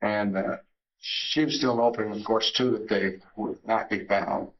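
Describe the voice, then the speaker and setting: Older man reminiscing haltingly. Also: on the low side, steady tone, lo-fi sound. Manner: halting, with many fillers and restarts. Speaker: interviewee, Navy veteran. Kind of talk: reminiscing interview.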